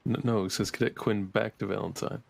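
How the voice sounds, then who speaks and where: Fast gravelly man. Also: lowish voice, steady tone, darkish tone. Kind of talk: in-character roleplay chat. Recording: game horse sounds behind. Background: horse hooves from the game.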